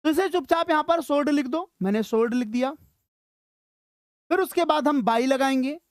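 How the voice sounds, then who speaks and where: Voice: high voice, no room sound, studio quality. A teacher gives a live grammar lesson to school students, asking questions, answering them and repeating key phrases.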